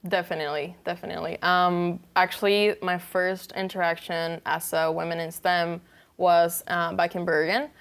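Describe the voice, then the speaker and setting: A woman's voice: fairly low pitch, little pitch movement, normal speed, smooth. A young woman from Venezuela, a student guest answering interview questions earnestly, with many fillers and restarts. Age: young adult.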